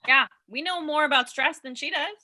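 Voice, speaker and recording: A woman's voice: high voice, brisk, bright, forceful tone. A woman playing a blunt, reluctant skeptic in a staged reading of a play. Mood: defiant.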